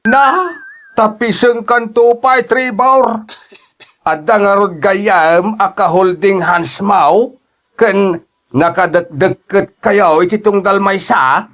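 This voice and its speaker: Actor as older Ilocano dad in radio drama, unhurried, slightly high voice, chiding and goading. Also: male voice, fairly bright tone. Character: older father, goading tale-bearer to his son. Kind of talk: Ilocano audio drama acting.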